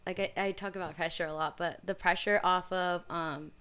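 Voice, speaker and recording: A woman's voice: fairly low pitch, steady tone, moderate pace, a bit bright, smooth. A young woman whose first language is Spanish, chatting easily as a guest on a casual podcast interview, thoughtful and laid-back with lots of "like" and "yeah". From Utah. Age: young adult. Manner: easygoing, thoughtful, frequent "like" and "yeah". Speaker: guest musician.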